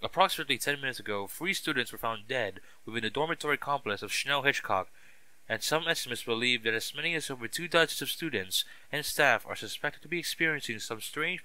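Man playing a very bright-voiced TV news reporter. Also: mid-range voice, lively intonation, a little fast, no room sound, low-quality recording. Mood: serious, urgent. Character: urgent local TV news reporter, adult man. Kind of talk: scripted breaking news report.

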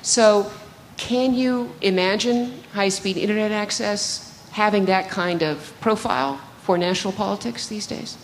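A middle-aged woman moderating an onstage interview, asking prepared, measured questions into a handheld mic. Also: medium pitch. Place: large hall stage, seated in armchair. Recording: hall reverb, faint room noise.

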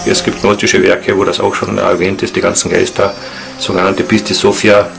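A man explaining things spontaneously in an interview, halting and earnest. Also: deep voice, completely flat delivery, vocal fry, music in the background. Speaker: interviewee, esoteric practitioner.

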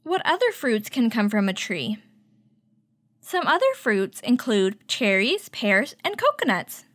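A woman hosting a children's story time, reading a picture book aloud and teaching with clear, instructive delivery. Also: fairly high pitch, big pitch swings, a little slow, a bit bright, dry recording, clean studio sound. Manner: clear, instructive, addressing young children with questions.

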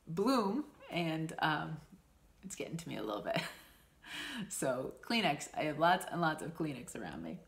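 Woman, 40s, low voice, stuffy nose, rambling home vlog chat. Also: a bit bright. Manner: chatty, rambling, frequent ums and laughs. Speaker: stitching vlogger. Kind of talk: casual chat to camera. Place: small room at home, indoors. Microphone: camera in front at desk distance.

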